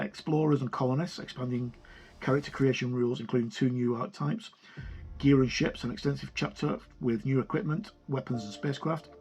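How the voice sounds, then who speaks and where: A man giving a casual, halting review of a tabletop game product in an informal way. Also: mid-range voice, darkish tone, poor audio. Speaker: video reviewer. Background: music.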